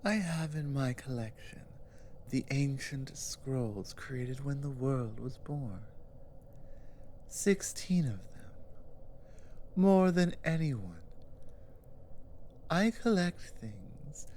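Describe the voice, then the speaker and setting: A woman playing a powerful, haughty, suspicious giantess sorceress in an ASMR roleplay, speaking deliberately and commandingly, sometimes dropping toward a whisper. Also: very deep voice, lively intonation, dark tone, slight background noise, heavily acted. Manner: slow, deliberate, commanding, with breathy drops into whispers. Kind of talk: ASMR roleplay, dramatic monologue.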